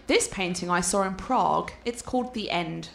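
A woman's voice: lowish voice, normal speed, gravelly. A woman playing a cultured, confident art scholar and teasing fiancée in a radio play, articulate and playful. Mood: enthusiastic.

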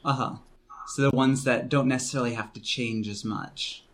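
A man's voice: medium pitch, normal speed. Casual male podcast host. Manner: relaxed, conversational, uses "like" often. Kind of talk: casual interview questions.

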